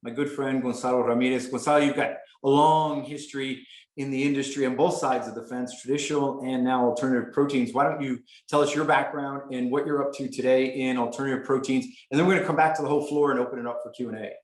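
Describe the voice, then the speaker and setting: A man moderating a panel, brisk and friendly. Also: medium pitch, steady tone. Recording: roomy echo.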